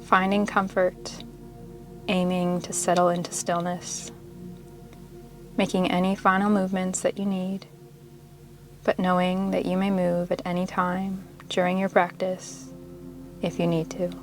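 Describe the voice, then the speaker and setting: Slow, even-toned woman guiding meditation over music. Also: lowish voice. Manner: soothing, unhurried, measured instruction with pauses. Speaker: yoga nidra meditation guide. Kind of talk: guided meditation narration.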